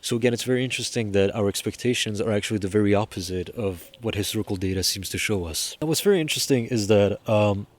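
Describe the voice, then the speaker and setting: A man's voice: on the low side, quick pace, few pauses. A young man explaining music history to camera in a casual, earnest way, rambling and emphatic with many restarts, filmed on a phone. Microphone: phone camera at arm's length.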